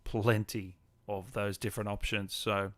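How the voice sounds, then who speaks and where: A man's voice: lowish voice, flat delivery, a little slow, a bit bright, dry recording. A man hosting a live gaming stream, chatting casually and answering viewers in an informal, matey way. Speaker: live stream host and gaming content creator. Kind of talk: casual chat, reading and answering viewer comments.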